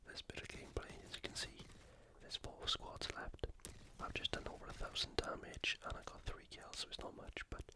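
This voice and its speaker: An ASMR host speaking casually in a breathy, unvoiced whisper, giving commentary on sport and gameplay. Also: low-quality recording. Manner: casual, conversational.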